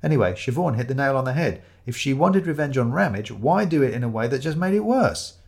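A man narrating a scripted comic murder mystery in a wry, expressive storytelling manner. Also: medium pitch, fairly quick, vocal fry.

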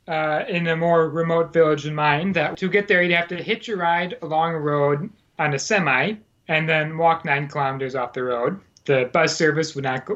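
A man's voice: a little high, a bit bright. Male guest, rambling storyteller, many fillers, lo-fi muffled recording. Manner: rambling, frequent fillers and self-corrections. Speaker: guest, former Peace Corps volunteer. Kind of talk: casual podcast conversation. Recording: dull lo-fi sound.

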